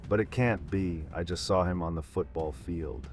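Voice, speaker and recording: Scheming, stubborn mob boss, male actor, very low register, comic book dub with music underneath. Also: normal speed. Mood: alarmed.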